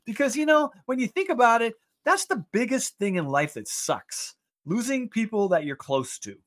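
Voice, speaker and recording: A man's voice: very high voice, big pitch swings, normal speed, a bit bright. A man hosting a casual radio show, chatting and interviewing in a rambling, hesitant way. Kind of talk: casual studio banter and interviewing.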